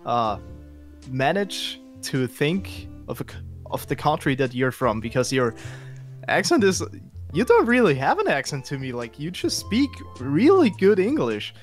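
Young male podcast host; halting and searching, with an animated pitch. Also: faint music behind. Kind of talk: casual chat.

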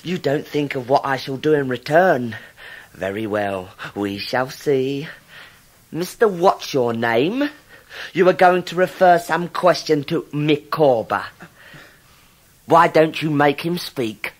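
An actor playing a scheming, hot-tempered villainous clerk in a radio drama, snappish and full of contempt. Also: male voice, a little high, animated, slow. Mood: sneering, threatening. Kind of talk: 1990s radio drama acting.

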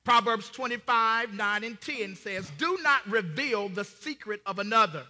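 Man acting a piercingly bright, high-voiced solemn judge. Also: unhurried, faint music behind, heavily acted. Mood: stern. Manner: solemn, commanding. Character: solemn male heavenly judge reading scripture in judgment. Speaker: narrator reading scripture. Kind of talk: declamatory church stage play. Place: large church hall before an audience. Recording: reverberant church hall.

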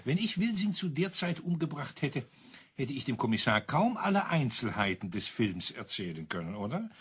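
A man playing a self-assured, wry colonel in a crime radio play, husky and breathy, teasing and savouring his account. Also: mid-range voice, big pitch swings, normal speed, a bit bright, full character voice. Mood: matter-of-fact telling. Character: wry, self-assured male colonel relishing a murder mystery.

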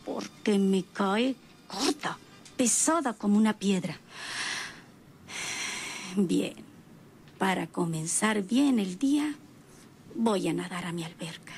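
Slow, creaky, scheming villainess. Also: female voice, medium pitch, lively intonation, darkish tone, slight background noise. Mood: menacing, scheming. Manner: slow, gloating, breathy asides. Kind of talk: radionovela acting, soliloquy.

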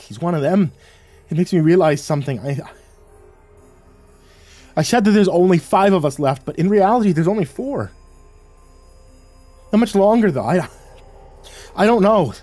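A man narrating a first-person horror story in a tense, halting way with stammered restarts. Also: a little high, mellow, no echo. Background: faint music.

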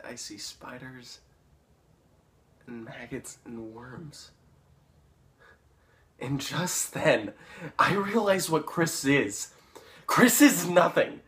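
A man's voice: mid-range voice, a bit bright, a little hiss or hum. Man acting awkward, stammering, resentful teenage boy. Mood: bitter, agitated. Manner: stammering, halting, nervous laughter. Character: awkward, bullied teenage boy, nervous, resentful, darkly unhinged. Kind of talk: dramatic stage monologue.

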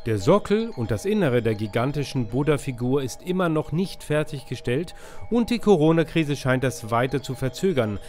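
A man's voice: medium pitch, animated, normal speed, a little mellow. Male travel vlogger over music. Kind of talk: travel vlog talk and voice-over narration.

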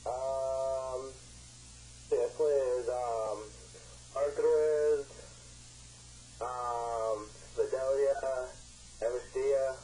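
Male, medium pitch, dark mellow tone, hesitant and unbothered, on a faintly noisy phone call. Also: steady tone, very slow, pauses often. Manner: laid-back, unbothered, hesitant. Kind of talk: casual phone chat.

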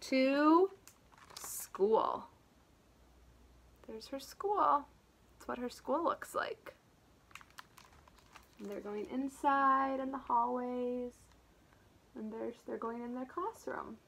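A woman teacher reading a picture book aloud to small children, expressive, with asides and simple explanations. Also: high-pitched, very slow, gravelly, long pauses, slight background noise. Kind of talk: animated read-aloud storytelling for small children.

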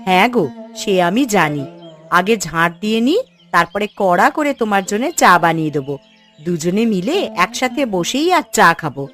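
A woman voicing a caring, gently scolding village housewife in a cartoon, coaxing and homely. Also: medium pitch, big pitch swings, moderate pace, faint music behind. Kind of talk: Bengali cartoon story dialogue.

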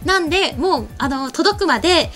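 A woman's voice: high-pitched, lively intonation, moderate pace, fairly bright tone. A young woman co-hosting a show, chatting casually at a desk microphone in a small indoor studio. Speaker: show co-host and creator. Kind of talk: casual chat. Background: faint music.

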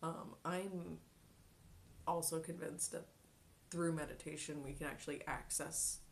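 A young woman in her twenties chatting casually on a live stream from her bedroom, reading chat aloud, giggling often and dropping into soft near-whispers. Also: sits low, fairly even intonation, measured pace, creaky, a little hiss or hum. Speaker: Twitch streamer. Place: small bedroom at home.

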